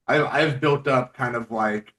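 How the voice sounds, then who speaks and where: A man's voice: mid-range voice, measured pace, a bit bright, recorded from a distance. A man hosting a casual interview in a friendly, eager, conversational way. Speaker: podcast host and film reviewer.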